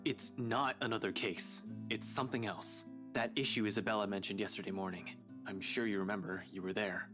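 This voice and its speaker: An actor playing an earnest, dryly teasing young police detective in a visual novel game, with music behind him. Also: male voice, lowish voice, fairly even intonation, brisk, natural voice. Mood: earnest, subdued. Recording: clear guitar music behind the voice. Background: game music with guitar.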